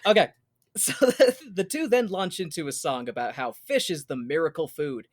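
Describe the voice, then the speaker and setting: A man's voice: on the high side, lively intonation, moderate pace. A man hosting a casual podcast, chatting and recapping in a jokey, exclamatory way with some swearing. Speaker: podcast host. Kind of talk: casual chat with comedic show recap.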